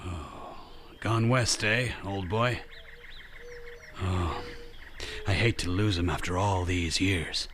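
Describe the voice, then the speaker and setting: A man playing a gruff, brusque ranch boss in an audio drama set in the 1930s. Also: deep voice, slow, pauses often, fairly bright tone, a little hiss or hum. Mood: regretful. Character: gruff ageing American ranch-owning boss.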